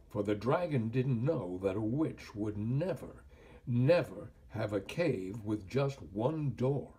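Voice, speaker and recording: An older man narrates a children's read-aloud in a theatrical storyteller style. His husky voice moves slowly and deliberately, with big expressive swings in pitch.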